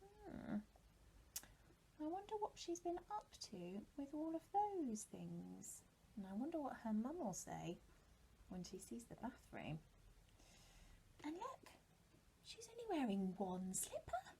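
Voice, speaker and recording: British lady teacher chatting to little kids, really up and down, bit creaky. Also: sits high, slow, pauses often, a little hiss or hum, low-quality recording. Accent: British English. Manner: expressive, asks listeners rhetorical questions. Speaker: primary school teacher. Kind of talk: animated talk and storybook reading to young children.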